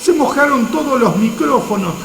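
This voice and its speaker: A man's voice: extremely high pitch, animated, normal speed, creaky, heavily acted. An actor playing an aggrieved, melodramatic invisible man in a radio play, complaining with dramatic emphasis. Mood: mounting panic. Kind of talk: radio theatre acting. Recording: echoey, slightly noisy room.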